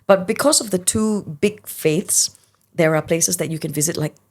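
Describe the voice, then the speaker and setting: A woman's voice: lowish voice, moderate pace. A woman, a paranormal investigator telling stories confidently in a casual podcast chat. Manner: storytelling, confident, self-described loud voice.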